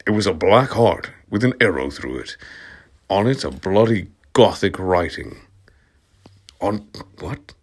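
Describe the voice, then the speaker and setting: A man reads a story aloud with a slow, unhurried pace and a very animated pitch. He is theatrical and sardonic, treating the text as comedy and moving from murmured asides to loud outbursts. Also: husky. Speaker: reader of comic fan fiction. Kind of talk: dramatic comic read-aloud with sardonic asides.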